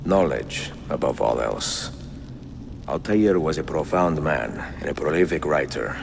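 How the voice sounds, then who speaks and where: An actor playing a weary, reflective older Italian assassin in a game cutscene, speaking in a measured, solemn way with an Italian accent. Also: male voice, rumbling low, expressive, creaky, a little hiss or hum, full character voice. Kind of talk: dramatic game cutscene dialogue and letter narration.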